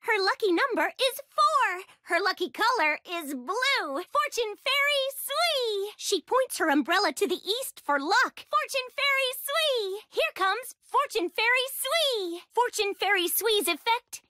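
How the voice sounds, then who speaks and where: Super high bubbly anime game girl, perky young duelist, swoops all over the place, theatrical. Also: very animated, a little slow, hardly any pauses, pushed and bright, no echo, clean studio sound, heavily acted. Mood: cheerful, excited.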